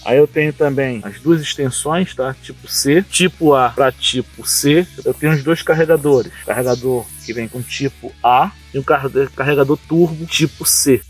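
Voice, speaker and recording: A man's voice: medium pitch, normal speed, few pauses, breathy edge, soft background music. A middle-aged man from Brazil casually showing and explaining the items of his everyday carry kit outdoors, often checking in with the viewers. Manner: explains each item, often adds "tá, gente?". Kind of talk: casual show-and-tell. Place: outdoors at a table in a yard.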